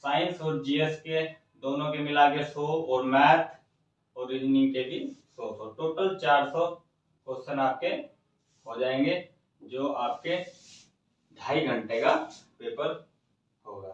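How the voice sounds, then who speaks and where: A man's voice: mid-range voice, slow, pauses often. A man explaining exam updates to students in an informal teacherly way, often checking in with short phrases. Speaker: exam coaching presenter. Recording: muffled, echoey room, distant microphone.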